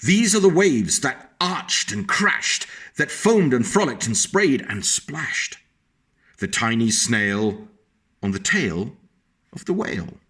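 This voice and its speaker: A man reading a picture book aloud to children, slowly and gently, stopping often to point out the pictures. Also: mid-range voice, very animated, slightly rough.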